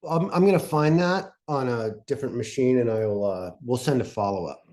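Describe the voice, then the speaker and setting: A man presenting in an informal workshop, talking in a conversational, somewhat halting way. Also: mid-range voice, darkish tone.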